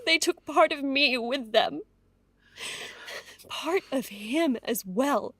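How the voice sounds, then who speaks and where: A distraught young-sounding mistress and devoted mother, high-voiced and slow. She pleads earnestly and haltingly in a period audio drama, recorded dry. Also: expressive, a bit bright, no echo, full character voice. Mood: grieving, earnest.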